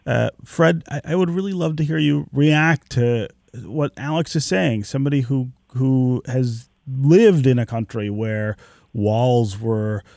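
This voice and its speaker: Radio host guy, warm gravelly voice, dry studio sound, calm. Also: medium pitch, a little slow, no echo. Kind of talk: radio talk show.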